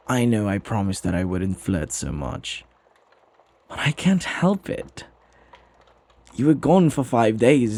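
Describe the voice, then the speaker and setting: A man playing a flirty, husky devoted butler. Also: fairly low pitch, darkish tone, exaggerated voice. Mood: flirty, pining. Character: flirty, devoted, teasing butler doting on the master. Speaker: ASMR roleplay voice actor. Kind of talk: ASMR roleplay acting.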